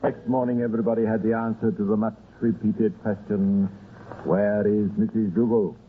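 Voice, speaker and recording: A man giving scripted narration for an old-time radio crime story in a measured storytelling manner. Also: on the low side, little pitch movement, narrow, tinny audio, music in the background. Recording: thin, noisy old radio recording.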